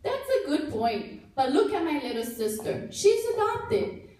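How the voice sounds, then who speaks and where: A woman's voice: high-pitched, very animated, unhurried, darkish tone, full character voice. A woman voicing a cheerful, helpful girl puppet in a church puppet show, explaining things patiently, recorded from far off in a very echoey hall. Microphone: far camera.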